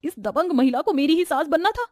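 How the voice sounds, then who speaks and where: Woman acting a whiny, lazy young wife, high-pitched. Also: animated, fairly quick, a little mellow. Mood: whining. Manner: complaining, self-pitying, wheedling. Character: lazy, whining young daughter-in-law.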